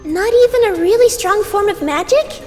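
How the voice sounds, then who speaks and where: A girlish, immature magical being with an extremely high voice. She is flippant and stubborn, talking casually like a kid in a fantasy comic dub. The sound has long reverb with music behind it. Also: lively intonation, moderate pace. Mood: curious, flippant. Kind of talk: scripted fan comic dub acting.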